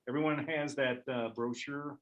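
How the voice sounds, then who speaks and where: A man talking informally during a local board meeting, relaxed and loosely worded, often trailing off. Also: medium pitch, moderate pace, muffled recording, slight background noise. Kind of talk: casual meeting discussion. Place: meeting room.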